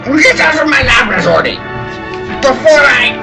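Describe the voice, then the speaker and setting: A man playing an excitable scientist and inventor in a dramatic, emphatic old-time radio style. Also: very high-pitched, moderate pace, vocal fry, loud music behind the voice, exaggerated voice. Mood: outraged. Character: excitable male scientist, inventor of a deadly machine.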